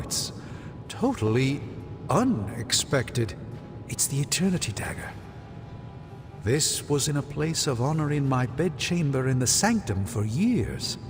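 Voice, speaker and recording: An actor playing a learned, middle-aged sorcerer superhero in a video game, speaking in a formal, theatrical and grave way. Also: male voice, mid-range voice. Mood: astonished. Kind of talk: video game cutscene acting. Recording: long hall reverb under music.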